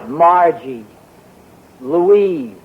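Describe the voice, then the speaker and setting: A man's voice: a little high, extremely slow, background noise. A man playing a curious, flustered husband in a 1940s radio sitcom, who talks in a wheedling, nervously chatty way. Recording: dull lo-fi 1940s radio recording.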